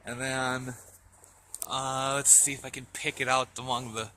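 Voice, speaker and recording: Man, bright forward voice, medium pitch, casual hobbyist vlog, hesitant thinking-aloud delivery, outdoors with faint background noise. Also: level tone, a little slow. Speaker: hobbyist vlogger. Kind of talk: casual unscripted show-and-tell. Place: outdoors in a yard in winter.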